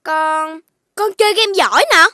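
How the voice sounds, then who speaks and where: A woman's voice: extremely high pitch, animated, a little slow, pushed and bright, no echo, studio quality, heavily acted. A woman voicing a cheeky young boy puppy in a cartoon, whiny and pleading when he is in trouble. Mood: chatty. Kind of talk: cartoon voice acting. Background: background music.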